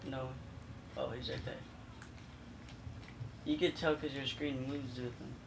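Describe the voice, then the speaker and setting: A man with a voice worn from yelling, giving quick calls and swearing while playing a co-op shooter. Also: mid-range voice, flat delivery. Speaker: co-op gaming partner. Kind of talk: casual gaming chat. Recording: noisy room recording.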